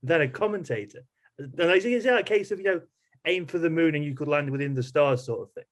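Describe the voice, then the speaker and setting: Fast-talking, higher-voiced male podcast host. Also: lively intonation. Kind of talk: casual interview chat.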